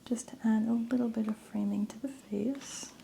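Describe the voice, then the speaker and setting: A woman speaking in a soft, breathy half-whisper, gently explaining each step in an ASMR stylist roleplay. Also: fairly high pitch, fairly even intonation, moderate pace, a little hiss or hum, rough recording. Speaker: ASMR creator playing a hair stylist.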